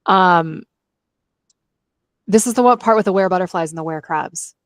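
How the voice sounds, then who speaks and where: A woman's voice: on the low side, moderate pace, dry recording, studio quality. A woman chatting casually as co-host of a book discussion podcast.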